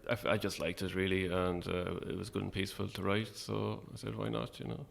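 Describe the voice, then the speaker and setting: Deep, gravelly middle-aged Irish man. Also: level tone, brisk. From Ireland. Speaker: guest, playwright and actor. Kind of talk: casual interview chat.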